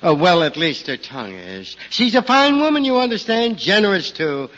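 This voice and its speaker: An actor playing a henpecked, lazy village idler in a 1940s radio drama, drawling playfully with comic repetition and a timid streak. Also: male voice, high voice, wildly swooping pitch, moderate pace, fairly bright tone, exaggerated voice. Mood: sly, interested. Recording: muffled, faintly noisy 1940s radio recording.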